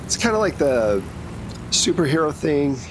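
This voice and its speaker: A man's voice: a little high, sing-song, moderate pace, background noise, pitched above the performer's own voice. A man playing a businesslike, protective female secret agent recruiter in a tabletop game, explaining things in a matter-of-fact, reassuring way.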